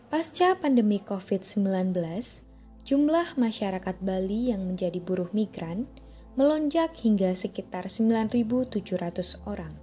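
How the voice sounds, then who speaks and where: A woman reading scripted documentary narration in a measured, formal manner. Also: medium pitch, warm tone.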